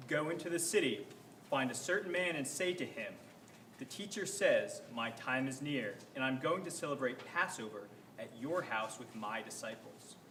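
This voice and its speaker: A man's voice: mid-range voice, animated, normal speed, a bit bright, natural voice. A man acting a grave Jesus in an echoey room. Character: Jesus, grave and sorrowful male teacher. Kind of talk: reader's theater scripture reading. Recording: echoey room, faint background noise.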